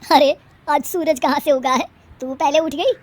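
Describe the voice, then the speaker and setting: A woman voicing a weary, grumbling elderly mother-in-law in an animated family story, scolding and sarcastic. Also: sits high, moderate pace, lightly acted. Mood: sarcastic surprise.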